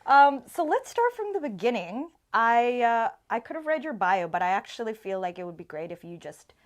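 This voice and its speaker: A woman's voice: fairly high pitch, sing-song, normal speed. Woman hosting a laid-back interview show, chatty and informal, kinda effusive. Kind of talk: casual conversational interviewing.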